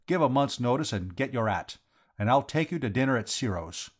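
A man's voice: mid-range voice, lively intonation, fairly quick, voice pushed up, natural voice. A man voicing a brash, gloating working-class London man who drops his aitches in a storybook reading. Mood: jubilant, brash.

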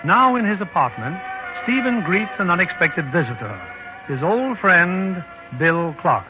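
A man hosts an old 1940s radio program with formal, polished narration. His voice is a little high, and the dull broadcast carries some music. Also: sing-song, a little slow. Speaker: host and producer.

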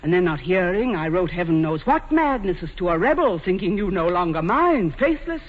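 A woman's voice: lowish voice, big pitch swings, normal speed. An actress playing a proud, imperious English queen in a commanding, theatrical 1940s radio drama style. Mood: tender, yearning. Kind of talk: 1940s radio acting, stage classical drama. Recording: muffled, hissy 1940s radio recording with reverb.

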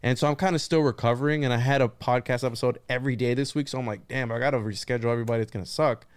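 A man at a mid pitch hosts a podcast, trading jokey conspiracy theories and casual swearing. His voice is smooth and clear, recorded close and dry. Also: fairly even intonation, racing, no echo. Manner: joking, conspiratorial banter with swearing. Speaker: podcast host.

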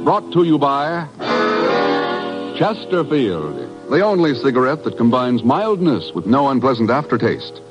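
An old-time radio announcer, a man with a mid-pitched voice and formal, emphatic delivery. The recording is dull and vintage, with music behind him. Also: very animated, a little slow. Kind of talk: 1950s radio announcing, scripted narration.

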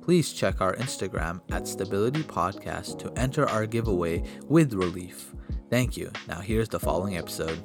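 A man's voice: sits low, lively intonation, music in the background. Laid-back male podcast host. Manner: friendly, short reactions and quick questions. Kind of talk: casual podcast interview.

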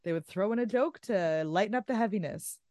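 A woman co-hosting a podcast, chatting casually, quick to laugh and chiming in with agreement. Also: mid-range voice, a little mellow, no room sound, studio quality. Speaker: podcast co-host. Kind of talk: casual chat.

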